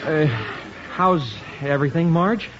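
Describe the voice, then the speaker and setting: An American actor playing a hesitant, earnest, lovesick young husband in a 1950s radio comedy. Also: male voice, a little high, a little slow, a loud crowd, natural voice. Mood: bashful. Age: young adult. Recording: noisy, muffled 1950s radio recording.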